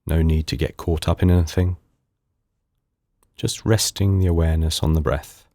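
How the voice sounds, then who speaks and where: A man leading a guided meditation in calm, unhurried, soothing short phrases with pauses between them. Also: rumbling low, dry recording, clean studio sound. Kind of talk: guided body scan meditation.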